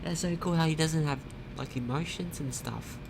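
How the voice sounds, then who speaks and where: A gamer giving casual let's play commentary, reacting informally in short remarks with a creaky voice. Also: mid-range voice, moderate pace, background noise. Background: game music.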